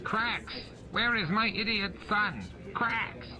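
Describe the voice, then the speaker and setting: A man voicing a loud, bossy, obnoxious alien barkeeper in an audiobook, barking out orders. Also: high-pitched, slow, crowd noise, pitched above the performer's own voice. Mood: bossy, irritated. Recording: music under the voice.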